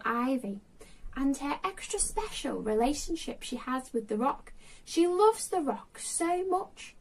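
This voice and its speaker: A high-voiced woman presents a children's storytime in a very animated, swooping way. She talks straight to young listeners and puts questions to them. She sounds a little away from the mic. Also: far from the mic.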